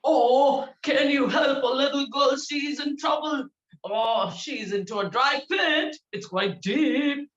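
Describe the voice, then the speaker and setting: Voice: high voice, slow, fairly bright tone. A player voicing a small, kindly bee who hums and hesitates, heard over echoey, muffled call audio. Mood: urgent. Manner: humming, hesitant, fussing. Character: fussy, kindly little bee.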